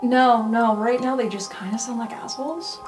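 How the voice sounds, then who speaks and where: A woman's voice: fairly high pitch, normal speed. A woman chatting casually as a player in an improvised tabletop roleplay game. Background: background music.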